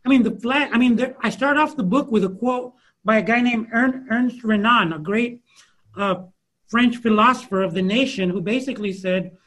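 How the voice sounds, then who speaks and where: A man with a notably high voice answers an audience question as an author. He talks loosely, full of "you know" and restarts, circling back as he builds his point. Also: moderate pace, fairly bright tone, rough recording. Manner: many fillers and restarts, searching for words. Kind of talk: thoughtful conversational Q&A answer.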